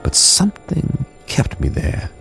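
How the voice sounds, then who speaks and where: An actor playing a world-weary, wry government codebreaker in a radio drama, with gravelly, deliberate delivery. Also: male voice, a little high, wildly swooping pitch, a bit bright, faint music behind, full character voice, rough recording. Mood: wry, reflective. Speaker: narrator and lead actor. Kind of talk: radio drama acting and first-person narration.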